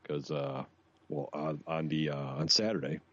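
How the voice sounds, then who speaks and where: A man in his late thirties with a very low voice tells casual stories as a podcast co-host. He is wry and swears freely. The sound is slightly dull and lo-fi. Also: little pitch movement, unhurried, fairly bright tone. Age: late 30s. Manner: wry, profane storytelling. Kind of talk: casual chat, swearing anecdotes.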